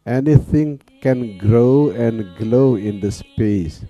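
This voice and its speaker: A man teaching guided meditation in a calm manner, leaving long pauses between phrases. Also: medium pitch. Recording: dull, lo-fi sound. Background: soft music.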